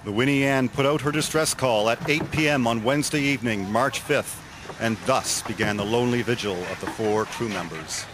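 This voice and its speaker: A man narrating a scripted dramatic story in a measured, solemn way. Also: medium pitch, noisy background. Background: music.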